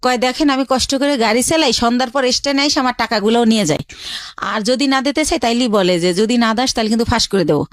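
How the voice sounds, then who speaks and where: A woman's voice: fairly high pitch, fast, dry recording. A young woman with a colloquial Bangladeshi Bengali accent tells her life story conversationally, retelling exchanges as reported dialogue line by line.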